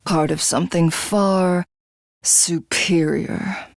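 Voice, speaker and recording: A woman's voice: lowish voice, fairly even intonation, slow pace, creaky, dry recording, studio quality. A woman voicing a megalomaniac alien warrior from a video game, haughty and theatrical, who devours rivals to become a god. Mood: smug, menacing. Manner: haughty, grandiose, theatrical, self-satisfied. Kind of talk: video game character voice acting.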